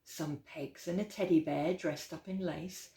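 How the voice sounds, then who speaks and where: A woman reading a rhyming children's picture book aloud as a storytime host, with expressive, dramatic emphasis. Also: deep voice, unhurried. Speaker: library storytime reader. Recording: roomy, echoey indoor sound.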